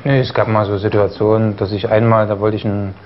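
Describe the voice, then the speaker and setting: A man's voice: lowish voice, little pitch movement, quick pace. A young man from East Germany, a talk show guest who stutters now and then and talks with many fillers and restarts. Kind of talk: studio interview. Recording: dull broadcast audio with faint noise.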